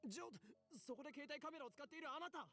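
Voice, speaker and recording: A man's voice: very high voice, very fast, bright, forceful tone. A man voicing a paranoid, pompous, melodramatic young man in a visual novel. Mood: urgent, demanding. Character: paranoid, theatrical young man fancying himself hunted. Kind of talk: Japanese visual novel voice acting. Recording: game audio under music, played through stream.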